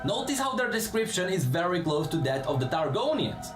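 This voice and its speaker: A man's voice: sits high, big pitch swings, a little fast, music in the background. A man narrating fantasy lore as scripted, dramatic storytelling, with explanatory asides.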